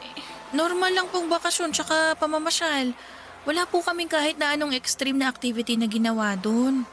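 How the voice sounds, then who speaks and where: A woman's voice: high-pitched, steady tone, brisk, fairly bright tone. A woman playing a sick, weary young female office worker confiding in others in a Tagalog radio drama. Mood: weary, puzzled. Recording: some noise behind the voice.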